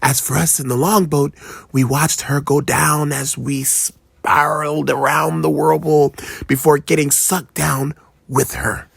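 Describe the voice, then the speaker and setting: Gravelly old pirate ghost. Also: mid-range voice, flat delivery, measured pace, dry recording, full character voice. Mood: grave storytelling. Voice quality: gravelly, growling. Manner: piratical dialect with arrs. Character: gruff old pirate captain ghost, nostalgic storyteller. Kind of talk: children's storybook narration.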